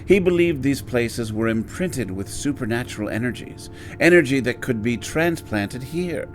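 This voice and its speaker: A man plays the master of a haunted inn in a horror audio drama. His middle-pitched voice is formal, measured and genteel, with an ominous courtesy, and music plays underneath. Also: animated, lightly acted. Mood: grave storytelling.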